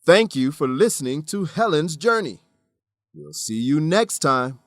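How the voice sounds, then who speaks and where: A man hosting an English lesson as scripted narration, measured, instructive and clearly enunciated. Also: fairly high pitch, animated. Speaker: host and English teacher.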